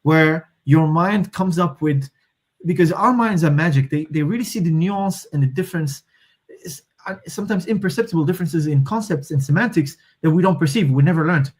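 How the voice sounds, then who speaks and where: A man's voice: a little high, brisk, breathy edge. A man from Morocco, a native speaker of Moroccan Arabic and French, talking as an interview guest, thoughtful, often restarting and searching for words. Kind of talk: conversational interview.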